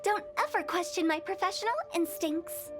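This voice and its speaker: A woman's voice: very high-pitched, moderate pace, over music. A woman voicing a young, mischievous and self-assured funeral director girl in a game. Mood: knowing. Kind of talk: game voice acting.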